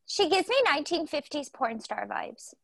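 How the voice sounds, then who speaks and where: A woman co-hosting a podcast, chatting casually with a soft baby voice and lots of fillers. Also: high-pitched, normal speed, vocal fry, dry recording. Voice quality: self-described baby voice. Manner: chatty, animated, many fillers. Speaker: podcast co-host. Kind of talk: casual chat.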